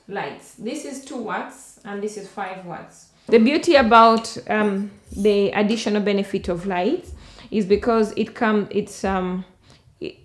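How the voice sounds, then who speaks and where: A middle-aged woman with a Ugandan accent, explaining and demonstrating a product she invented, halting often with ums and restarts. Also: medium pitch.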